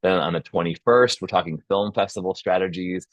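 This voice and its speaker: Deep voiced guy hosting a zoom meetup, chatty and salesy, really dry close sound. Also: fairly quick, no room sound. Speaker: host and founder of a film community. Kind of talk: casual hosting of an online video meeting. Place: online video call.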